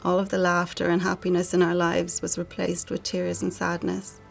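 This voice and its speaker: A middle-aged woman with an Irish accent reads a prepared family tribute in a solemn, measured way. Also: fairly low pitch, monotone, gravelly, soft background music. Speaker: bereaved sister giving a family statement.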